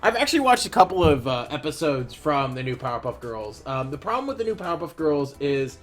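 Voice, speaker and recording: A man's voice: a little high, sing-song, a little fast, soft background music. A young man chatting casually as he animates, rambling and opinionated with lots of fillers and restarts. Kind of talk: casual commentary while animating.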